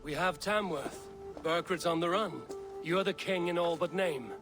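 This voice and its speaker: A man's voice: fairly high pitch, a little slow, fairly bright tone, faint music behind. A man playing a steadfast, self-assured Viking warrior in a video game, speaking directly and with control. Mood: firm. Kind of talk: dramatic video game acting.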